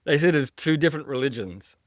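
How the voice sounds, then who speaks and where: A man co-hosting a podcast with a foreign accent and a slightly high voice, pressing his guest with questions and circling back over his own words. The sound is close and dry, with no room around it. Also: animated, fast, dry recording. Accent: non-American accent. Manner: probing, repeats himself while building a point. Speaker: podcast co-host.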